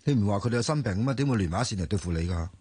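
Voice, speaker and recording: A man's voice: on the low side, expressive, rapid-fire, a little mellow, slightly rough, dry recording. A man with a Hong Kong Cantonese accent, playing a hesitant young man who protests against posing as someone else in a radio drama. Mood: uneasy, defensive.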